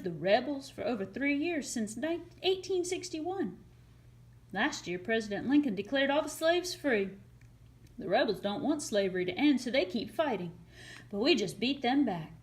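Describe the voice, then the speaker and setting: A woman voicing a kindly, authoritative male army captain in a storybook, speaking in a measured, explanatory way. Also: high voice. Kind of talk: storybook character reading.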